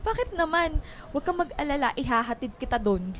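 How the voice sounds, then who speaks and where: A woman's voice: high-pitched, big pitch swings, a little fast, a bit bright, a little hiss or hum. A young woman playing a wounded, brooding daughter in a radio drama, plaintive and conversational, mixing in some English. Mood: light, teasing.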